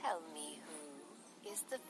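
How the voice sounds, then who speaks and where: A woman voicing a vain, jealous, scheming evil queen in a children's storybook tale, with an imperious, deliberate manner. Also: low voice, lively intonation, slight background noise, pitched below the performer's own voice. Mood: commanding.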